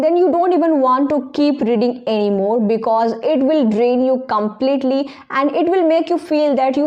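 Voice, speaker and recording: A woman's voice: sits high, darkish tone, dull audio. A woman with a strong Indian accent, giving personal advice to viewers as an English-learning presenter.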